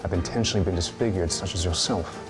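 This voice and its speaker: A man plays a proud, honourable duke from a period romance. His voice is deep and his delivery formal and measured, with quiet command. Music plays clearly behind him. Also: natural voice. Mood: sympathetic. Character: proud, honourable male duke, guarded but chivalrous. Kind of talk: period romance audio drama acting.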